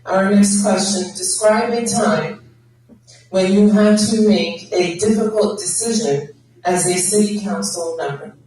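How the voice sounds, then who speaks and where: Slow woman moderator with an Arabic accent. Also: mid-range voice, dark tone, slight background noise. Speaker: forum moderator. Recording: echoey hall, distant mic.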